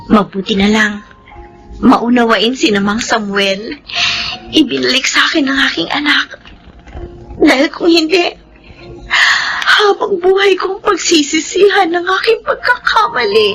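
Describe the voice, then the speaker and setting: A woman's voice: sits high, unhurried, pauses often, fairly bright tone, faint music behind, poor audio. A woman playing an earnest, repentant young working mother and respectful daughter in a Filipino radio drama. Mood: reflective, regretful.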